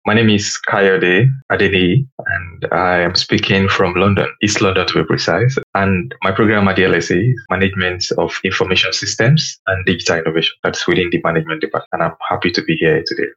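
A man's voice: sits low, steady tone, fairly quick, hardly any pauses, a bit bright, husky. A young man, a student guest, answering interview questions conversationally over a muffled remote call. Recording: muffled video-call audio.

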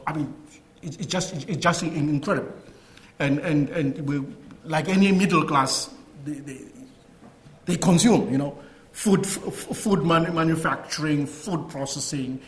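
A middle-aged man giving a talk and answering questions, halting often and repeating words. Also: on the high side, slight background noise. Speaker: guest speaker. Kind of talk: lecture and Q&A answers.

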